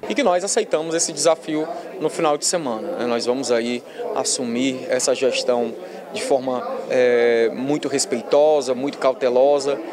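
A man's voice: mid-range voice, moderate pace. Middle-aged official guy, formal careful talk, lots of crowd chatter behind. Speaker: incoming education secretary. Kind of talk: interview statement. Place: indoor hall with people.

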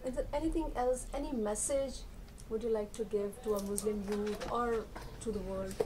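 A woman's voice: on the high side, steady tone, moderate pace, dark tone, a little hiss or hum, rough recording. A woman interviewer asking polite, deferential questions from off camera.

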